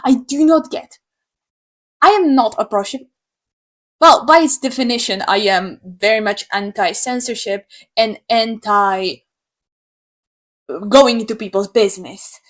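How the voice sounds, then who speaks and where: Animated, sarcastic young woman from Slovakia. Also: a little high, unhurried, pauses often. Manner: sarcastic, animated, swears freely. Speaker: YouTuber. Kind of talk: opinion rant to camera.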